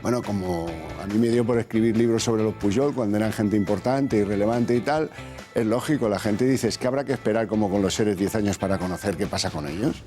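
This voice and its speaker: A man's voice: lowish voice, brisk, dark tone, faint music behind. A man hosting a televised political talk show, introducing guests and posing ironic, rhetorical questions. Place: TV studio.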